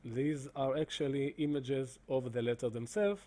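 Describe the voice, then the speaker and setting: Guy walking through his software, soft dark voice, lots of uh's and restarts. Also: medium pitch, fairly even intonation. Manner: halting, many fillers and restarts. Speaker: presenter demonstrating software. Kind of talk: screen-recorded explanation.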